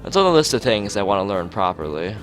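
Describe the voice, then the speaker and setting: A man's voice: on the low side, sing-song, fast, music in the background. A man voicing an odd, blunt, musing teenage schoolgirl artist from a visual novel, talking in matter-of-fact non-sequiturs.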